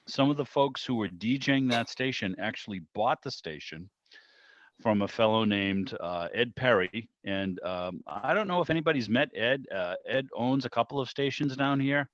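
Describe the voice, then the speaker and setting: An American man hosting an online club meeting in a chatty, informal, meandering way, with casual welcomes and questions. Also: on the low side. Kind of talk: casual spoken welcome and interview. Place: indoors on a video call. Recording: muffled, roomy video-call audio.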